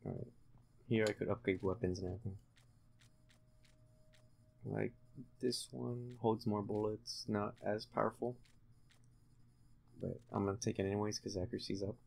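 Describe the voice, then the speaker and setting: A young man giving casual, halting, low-key commentary while concentrating on playing a video game. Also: creaky, a little mellow. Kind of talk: casual let's-play commentary.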